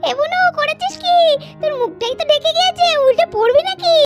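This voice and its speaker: A woman voicing eager, bossy little children in a village doll cartoon, with squeaky, chattering delivery. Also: expressive, normal speed. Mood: busy, playful. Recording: faint children's music behind.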